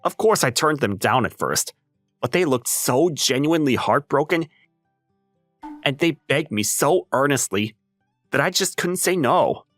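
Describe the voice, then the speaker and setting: A man playing an ordinary, level-headed high school boy who narrates a manga dub story in a wry, casual way. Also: animated, measured pace, dry recording, soft background music. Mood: wry, explanatory. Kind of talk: scripted first-person story narration and dub acting.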